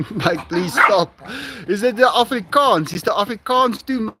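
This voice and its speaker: A South African man chats casually with his livestream viewers in a high, lively voice. He speaks slowly, laughs often and keeps restarting his sentences. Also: background noise. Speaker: livestream host. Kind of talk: casual live-stream chat with viewers.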